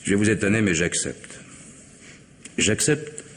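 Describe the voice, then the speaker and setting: Cheeky vagabond, very deep voice, drawling and sardonic, old radio play with long reverb and faint hiss. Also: male voice, very animated, a little slow. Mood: sly. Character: wry, cheeky vagabond man, worldly and scrounging. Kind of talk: vintage radio drama acting.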